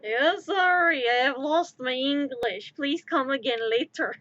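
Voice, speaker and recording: A woman's voice: sits high, measured pace, pushed and bright, no echo. A woman streamer whose first language is American Sign Language, chatting casually, joking, rambling, and swearing freely. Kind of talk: casual live-stream chat. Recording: muffled lo-fi stream audio.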